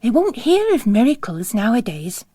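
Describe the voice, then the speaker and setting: An impetuous young nun, acted by a woman reader, speaks out briskly and earnestly in a storybook reading. Her voice is high and swings widely, with a bossy, urgent edge. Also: big pitch swings, dark tone, no echo. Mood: chatty, indignant. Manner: urgent, commanding, outspoken.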